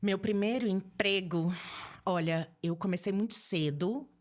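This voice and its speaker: A woman in her forties with a Brazilian accent from Minas Gerais, chatting casually as a podcast guest and telling stories in an animated way. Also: mid-range voice, measured pace. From Belo Horizonte, Minas Gerais, Brazil. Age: 40s. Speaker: podcast guest, holistic therapist. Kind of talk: casual conversational interview.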